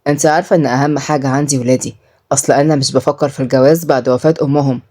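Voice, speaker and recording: A woman narrator takes on a wealthy widowed minister for an audio story. He is a reserved, fatherly man with some sternness, speaking in a lowered, mellow register. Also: steady tone, racing, lightly acted.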